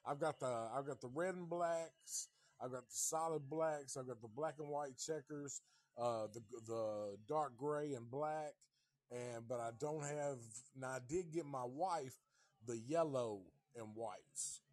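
A man's voice: medium pitch, measured pace, darkish tone, no room sound, clean studio sound. A fifty-year-old man from Tennessee hosting a casual livestream chat, rambling and swearing in a laid-back way. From Nashville, Tennessee. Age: 50s. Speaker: livestream host.

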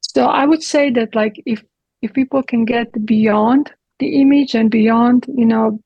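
A middle-aged woman speaks in a somewhat high, husky voice with a gravelly edge. She answers as a guest in a direct, explanatory way. The sound is dry and close. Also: fairly even intonation, unhurried, no echo, lo-fi sound. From Croatia. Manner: direct, explanatory, with occasional grammar slips. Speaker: guest physician. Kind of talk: earnest interview answers.

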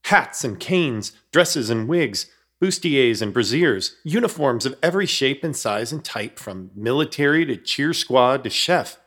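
A man's voice: mid-range voice, animated, measured pace, fairly bright tone. A man narrating and starring in a horror comedy radio play, with theatrical, urgent storytelling. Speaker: narrator and protagonist.

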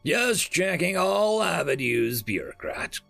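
A man's voice: on the high side, big pitch swings, slow, gravelly, bright, forceful tone, no echo, faint music behind, pitched above the performer's own voice, exaggerated voice. A man voicing a blunt, sardonic male military general who fires off curt questions.

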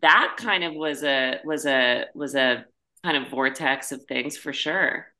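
A woman's voice: extremely low pitch, measured pace, bright, forceful tone. A young adult woman talking informally as a podcast guest, with "like" peppered through her casual chat. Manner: informal, uses "like" heavily.

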